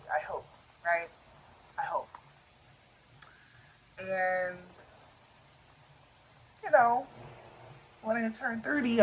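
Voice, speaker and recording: A woman in her late twenties chats loosely to camera, thinking out loud and checking in with "you know?" as she goes. Her voice sits on the low side for a woman. A faint hum runs behind her. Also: rough recording. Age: 20s. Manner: chatty, thinking aloud, frequent "you know". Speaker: vlogger. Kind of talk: casual vlog talk.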